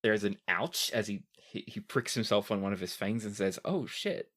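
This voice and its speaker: A man narrating a tabletop game as game master, casual and halting as he improvises descriptions. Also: fairly low pitch, fairly even intonation, a bit bright.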